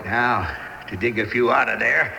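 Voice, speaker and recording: An actor playing a cocky, scheming frontier homesteader with rustic speech in an old-fashioned Western radio drama. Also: male voice, mid-range voice, moderate pace, creaky, lightly acted. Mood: excited. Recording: noisy 1970s radio drama broadcast.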